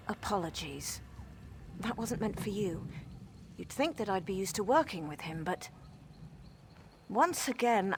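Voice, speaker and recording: An actress playing an exasperated, wry mage scholar in a video game, explaining things articulately. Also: female voice, medium pitch, a little hiss or hum. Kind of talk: conversational video game dialogue.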